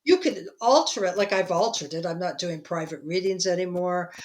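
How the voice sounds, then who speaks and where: A woman's voice: fairly low pitch, normal speed. A woman in her sixties, a guest in a casual conversation, talking in a chatty, rambling way with quoted asides, likely on a remote call. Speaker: psychic and author, guest.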